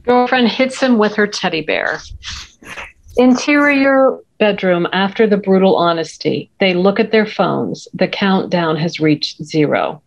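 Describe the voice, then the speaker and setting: A woman's voice: mid-range voice, animated, unhurried, vocal fry, dull audio. A middle-aged woman reading stage directions as scripted narration at a table read over a video call. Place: home room, on video call.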